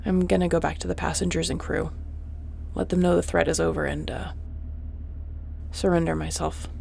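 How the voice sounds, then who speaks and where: A woman acts out a wanted female starship mercenary in an audio roleplay, with a very creaky, gravel-edged voice. She is terse, wry and commanding, yet protective. A faint ship noise sits behind her. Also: lowish voice, flat delivery, normal speed, darkish tone. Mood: wry, resigned. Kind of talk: intimate sci-fi audio roleplay acting.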